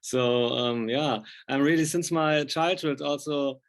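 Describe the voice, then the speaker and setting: A man's voice: fairly high pitch, unhurried. A man speaking non-native English, interviewing informally with rambling, enthusiastic fan talk. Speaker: interviewer, film and music journalist.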